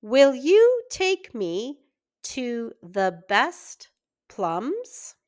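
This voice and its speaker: Woman voicing a greedy, slow-talking storybook spider. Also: on the high side, huge pitch swings, darkish tone, exaggerated voice. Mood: wheedling, greedy. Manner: slow, pleading, exclaiming when in trouble. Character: greedy, demanding male storybook spider with big appetite.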